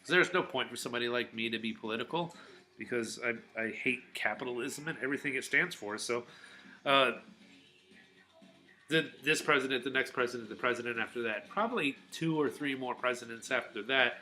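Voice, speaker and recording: A middle-aged man talks to his viewers in a loose, rambling way, full of restarts and filler words. His voice is very bright and forward, set at a middle pitch. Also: brisk, soft background music. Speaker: vlogger. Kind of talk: casual rambling monologue to viewers.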